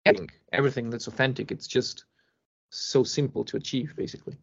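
A man explaining things casually as a podcast guest, with emphasis and pauses to find his words. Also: medium pitch, steady tone, vocal fry, a bit bright, slightly rough. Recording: dull lo-fi podcast audio.

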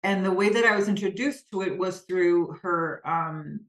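A woman's voice: lowish voice, normal speed, roomy sound. A woman speaking as a podcast guest, earnest and reflective, often searching for her words. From Denmark. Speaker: guest, spiritual business coach. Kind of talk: conversational interview.

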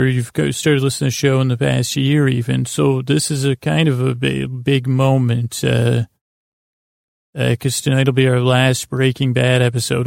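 A man with a creaky, gravelly voice hosting a bedtime podcast, rambling in a halting, meandering way with stammered tangents and pauses to lull listeners to sleep. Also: mid-range voice, darkish tone, no echo, studio quality.

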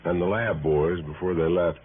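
An actor playing a matter-of-fact American police sergeant in an old radio detective drama, talking in a casual, halting cop style. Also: male voice, low-pitched, lightly acted. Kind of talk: 1950s-60s radio detective drama acting. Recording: muffled, noisy old radio broadcast.

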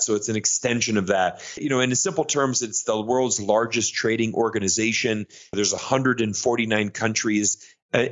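A man's voice: on the low side, fairly bright tone. An American man being interviewed, talking enthusiastically with frequent restarts and fillers. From United States. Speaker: guest analyst. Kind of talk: conversational interview. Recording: muffled lo-fi audio.

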